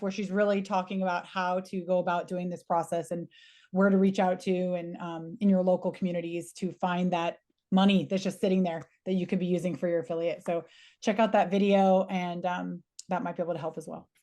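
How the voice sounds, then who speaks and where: Mellow, even-voiced woman, lowish pitch. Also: a little fast. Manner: helpful, matter-of-fact. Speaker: co-host of meeting. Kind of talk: informal practical explanation on a group call.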